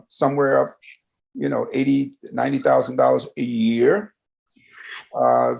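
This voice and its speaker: A man's voice: mid-range voice, creaky, a little mellow. An American man hosting a conversational radio interview, with a folksy, roundabout, questioning way of talking.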